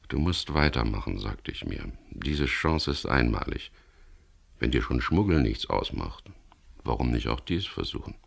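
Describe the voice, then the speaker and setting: An actor playing a guarded, plain-spoken English skipper in a radio crime drama, measured and laconic, narrating his own story and talking in dialogue. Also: full character voice. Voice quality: gravelly, very low voice. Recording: 1970s radio drama production.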